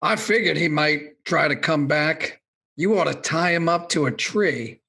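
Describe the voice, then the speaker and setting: A man playing a gruff, irritable swamp local in an audio drama. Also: a little high, measured pace, bright, forceful tone, full character voice.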